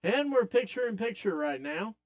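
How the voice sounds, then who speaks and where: A man with a raspy voice hosting a live wrestling watch-along podcast, excitedly calling the action and chatting with viewers. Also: high-pitched, moderate pace. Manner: excitable, hypes up the action, talks to chat. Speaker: podcast host.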